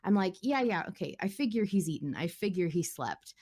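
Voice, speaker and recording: A woman with a fairly low voice talks as a podcast co-host. She is enthusiastic and expressive, in a casual fan-discussion style. Her language is informal and a little sweary. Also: fairly quick, husky. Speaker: podcast co-host discussing a horror audio drama.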